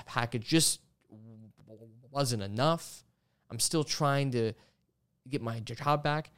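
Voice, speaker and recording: A man's voice: medium pitch, smooth. A male podcast host with a mid-range voice chats casually with his guest. He sounds enthusiastic and often stammers, repeating syllables before getting the word out. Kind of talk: casual conversational interview.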